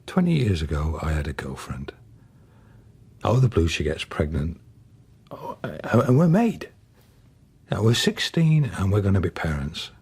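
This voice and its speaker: Radio drama dad, thirties: low, very husky, halting, confessional, guilt-ridden. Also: male voice, sing-song, darkish tone, exaggerated voice. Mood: reflective. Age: 30s. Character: guilt-ridden, halting father in his thirties, plain-spoken. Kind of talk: naturalistic radio drama acting.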